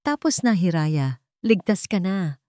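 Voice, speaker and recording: A woman with a Filipino accent voicing a gentle, devoted male paramedic, tender and reassuring, in a love story. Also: lowish voice, animated, measured pace, dry recording, studio quality. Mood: relieved, soothing.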